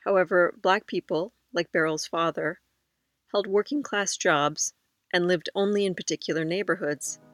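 A host reading scripted narration in a clear, even manner between interview clips. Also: mid-range voice, moderate pace.

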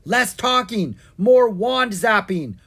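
An excitable little boy dragon acted by a storybook narrator, plucky and quick to grumble. The voice sits raised, husky and lively. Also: mid-range voice, voice pushed up, exaggerated voice. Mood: exasperated.

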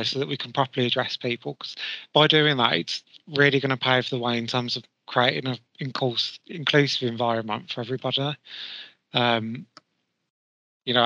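A man's voice: medium pitch, vocal fry, bright, forceful tone, no echo. A man speaking earnestly in a panel discussion, leaning on fillers. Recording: dry, dull lo-fi sound.

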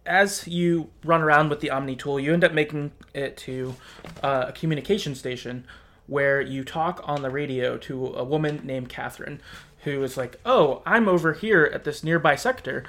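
A man's voice: on the high side, moderate pace, a bit bright. A man co-hosting a podcast, casually recounting a game's story in loose conversational talk. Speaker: podcast co-host.

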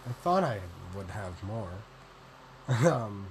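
A young man with a deep voice, rambling through a solo vlog, self-deprecating and swearing casually. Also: very animated, slow, slight background noise. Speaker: podcaster and vlogger.

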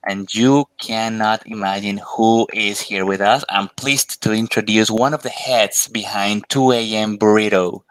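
A man's voice: on the low side, dry recording, poor audio. A man, a Spanish speaker, hosting a conversational interview. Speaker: podcast host.

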